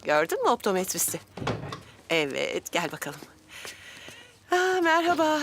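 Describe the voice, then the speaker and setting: A woman's voice: medium pitch, wildly swooping pitch, unhurried, background noise. A woman voicing an affectionate, exclamatory grandmother full of little ohs in a children's cartoon dub. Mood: chatty, encouraging. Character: affectionate, chuckling grandmother of a young boy.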